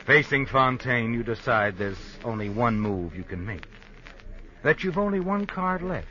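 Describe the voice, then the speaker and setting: Taunting, lively radio suspense narrator man. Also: mid-range voice. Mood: ironic, knowing. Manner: taunting, deliberate, addresses the character as you. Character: ironic, knowing male narrator addressing a guilty woman. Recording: muffled old radio broadcast with music. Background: music.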